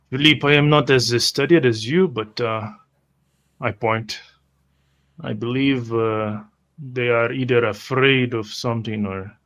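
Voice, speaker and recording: A man improvising first-person roleplay as a tabletop game player, halting and repeating words as he goes. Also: medium pitch, a bit bright.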